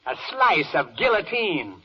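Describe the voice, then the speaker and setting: Pompous comic judge, old radio. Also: male voice, sits high, sing-song, a little slow, breathy edge, heavily acted. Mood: gleefully wrathful. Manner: blustering, theatrical, speaks in rhyme. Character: pompous, vain, bloodthirsty but fickle comic judge. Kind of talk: 1940s radio verse comedy acting. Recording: muffled old radio broadcast.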